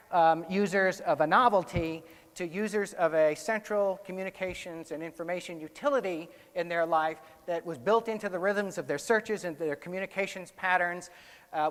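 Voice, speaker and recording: A man's voice: high-pitched, fairly bright tone. American man presenting in an echoey hall. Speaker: conference keynote speaker. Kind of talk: conference presentation on research findings. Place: indoor conference hall, on stage. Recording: echoey hall with long reverb.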